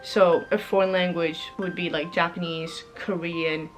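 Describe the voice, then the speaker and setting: A woman's voice: lowish voice, little pitch movement, a little slow, soft background music, poor audio. A young woman, a native French speaker, casually explaining reading prompts, rambling and correcting herself, laughing often. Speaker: book vlogger.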